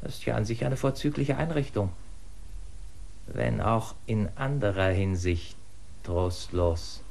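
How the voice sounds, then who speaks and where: An actor in a radio drama playing an official who explains at length in a measured way and rises to urgent insistence. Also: male voice, fairly low pitch, vocal fry, a little mellow. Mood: brooding.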